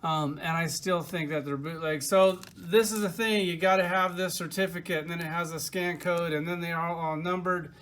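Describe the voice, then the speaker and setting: A man's voice: a little high, steady tone, moderate pace, hardly any pauses, a bit bright. A man giving a casual, opinionated product review in an informal, rambling way. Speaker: toy reviewer.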